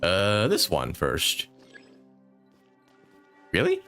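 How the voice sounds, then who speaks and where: A man's voice: low voice, huge pitch swings, extremely slow, gravelly, sharp tone, soft background music. A man live-streaming a video game with casual commentary, thinking aloud and chatting with his viewers.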